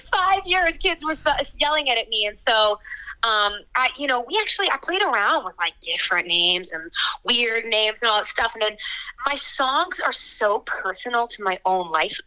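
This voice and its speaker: A young woman singer-songwriter answering interview questions in a chatty, frank way, with quick asides and fillers. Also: on the high side, sharp tone, poor audio.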